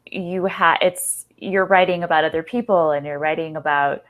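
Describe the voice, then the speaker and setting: A woman with a distinctly low voice speaks as a podcast host. She is earnest and reflective, working through long thoughts and rewording them as she goes. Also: steady tone, moderate pace, phone-quality sound.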